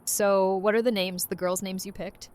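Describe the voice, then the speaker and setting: A woman's voice: mid-range voice, fairly even intonation, normal speed, darkish tone, clean tone, lightly acted. A woman playing a wry, blunt survivor and loyal friend in an audio drama, casual and teasing. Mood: curious.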